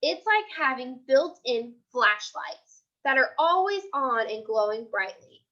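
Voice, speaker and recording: High, bright-voiced American woman teaching children. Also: sing-song, unhurried, dull audio. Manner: simple explanations, repeating key points for children. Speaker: elementary school teacher. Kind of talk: teaching read-aloud of a picture book with questions and asides.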